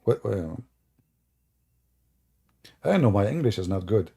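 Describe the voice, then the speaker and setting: A man's voice: lowish voice, big pitch swings, slow, gravelly, dark tone, breathy edge. A man whose first language is Arabic, hosting sarcastic religious commentary in a mocking, theatrical way. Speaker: host.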